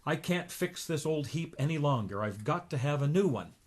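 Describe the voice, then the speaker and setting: Man playing comic-book uncle duck, middle-aged, excitable, grumbling, exclamatory. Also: a little high, moderate pace. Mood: exasperated. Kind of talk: comic book read aloud.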